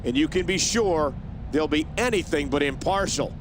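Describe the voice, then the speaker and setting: A man playing an excitable play-by-play wrestling announcer, giving scripted commentary in a video game. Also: on the high side, normal speed, background noise, natural voice. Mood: excited build-up.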